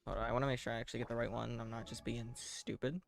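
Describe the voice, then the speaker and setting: A man giving casual live game commentary in a deadpan, self-deprecating way, muttering asides to himself. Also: mid-range voice, racing, gravelly, slight background noise. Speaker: game streamer.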